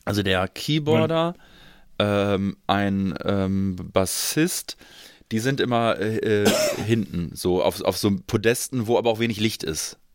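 A man's voice: lowish voice, unhurried, smooth, no room sound, studio quality. A man talking casually as co-host of a podcast. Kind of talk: casual chat.

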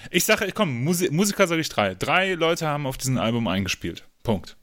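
A man chatting casually as a podcast quiz contestant, halting and repeating words as he thinks. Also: fairly high pitch, big pitch swings, a bit bright, no room sound, studio quality. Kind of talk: casual chat.

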